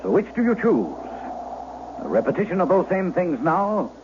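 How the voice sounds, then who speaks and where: An actor playing a menacing, commanding duke in an old-time radio drama, with imperious, formal archaic speech. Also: male voice, sits high, sing-song, normal speed. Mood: coolly bargaining. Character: menacing, commanding English duke intimidating a peasant boy. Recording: dull, noisy vintage radio broadcast.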